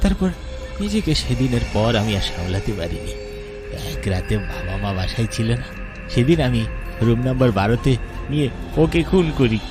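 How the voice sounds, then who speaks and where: A man voicing a young husband who is secretly a cannibal, gloating over his crimes in an animated horror story. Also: mid-range voice, normal speed, lightly acted. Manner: gloating, then pleading confessions. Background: strong background music.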